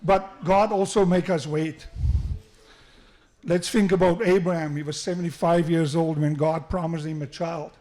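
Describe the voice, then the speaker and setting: Middle-aged South African man, slightly high voice, self-deprecating wedding speech with restarts. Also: fairly even intonation, moderate pace, a little mellow. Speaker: father of the groom giving a wedding speech. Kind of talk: wedding toast. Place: wedding reception hall. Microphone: handheld microphone through the sound system.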